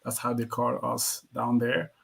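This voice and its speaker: Man, gravelly hesitant voice. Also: mid-range voice, fairly even intonation, a little slow, fairly bright tone. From Cameroon. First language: French. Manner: hesitant, many ums. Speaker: supply chain instructor. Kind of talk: self-introduction in an online session.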